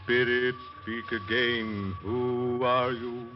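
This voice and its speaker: An actor playing a crooked, pompous fake medium, a cynical professor in a 1940s radio crime drama. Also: male voice, medium pitch, level tone, slow, lightly acted. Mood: solemn, theatrical. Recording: muffled old radio recording, faint music.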